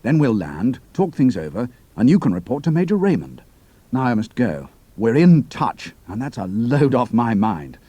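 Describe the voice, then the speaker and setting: An actor playing a cool, capable young pilot and spy, speaking in a clipped, matter-of-fact way. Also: male voice, medium pitch, sing-song, moderate pace, dark tone, slightly rough, no room sound. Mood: brisk.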